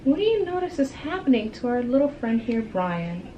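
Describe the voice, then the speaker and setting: A woman reading a storybook aloud to children like a teacher, with dramatic pauses and questions to her listeners. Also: a little high, sing-song, dark tone, slight background noise, rough recording. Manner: dramatic, engaging pauses and asides.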